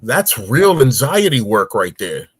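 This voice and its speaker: A man's voice: medium pitch, sing-song, measured pace, husky, no room sound, clean studio sound. A man in his forties, a guest on a casual talk show, telling stories with energy and acting out grunts and voices. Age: 40s.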